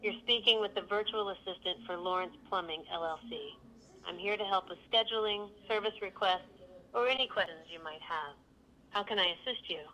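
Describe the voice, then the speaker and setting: A woman's voice: mid-range voice, a little fast, pushed and bright, low-quality recording. A synthetic woman's voice as a polite virtual assistant, giving scripted, neatly phrased customer service. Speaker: virtual phone receptionist.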